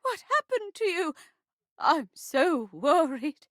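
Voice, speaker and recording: Old lady in game mystery played by a younger-sounding woman: fretful, pleading, slow, melodramatic. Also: extremely high pitch, sing-song, a bit bright, no room sound, clean studio sound, pitched above the performer's own voice, exaggerated voice. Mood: worried, pleading.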